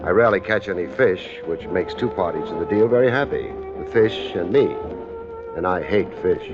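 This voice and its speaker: A man acting a wry, unflappable private detective with deadpan wit in a 1950s radio drama. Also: deep voice, normal speed, loud music behind the voice, close to an everyday voice. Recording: dull old radio recording.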